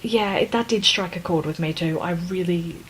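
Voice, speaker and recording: A woman's voice: lowish voice, normal speed, a little hiss or hum, poor audio. A woman co-hosting a casual podcast book discussion, thinking aloud hesitantly and circling back over her phrases. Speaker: podcast co-host.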